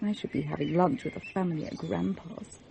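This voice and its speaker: Soft-toned, dreamy young Englishwoman. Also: fairly low pitch, fairly quick, creaky, slight background noise. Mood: amused. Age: young adult. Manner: languid, giggly, intimate. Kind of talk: British radio play acting.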